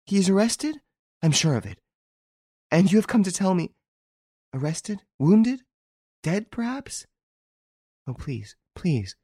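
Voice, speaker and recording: A distraught young woman, high and trembling, sobbing and pleading in a storybook tale, as a male narrator puts her on. Her voice swings wildly and sits close and dry on the mic. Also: big pitch swings, takes it slowly, pauses often, dark tone, slightly rough, no echo, exaggerated voice. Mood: frantic.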